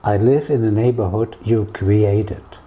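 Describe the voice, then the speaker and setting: A man's voice: fairly low pitch, unhurried, dark tone. A man with a German accent answering conversationally as an interview guest, in short emphatic phrases often tagged with a questioning "yeah?". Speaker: interview guest, neighbourhood tour guide. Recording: clear background noise, ambient sound.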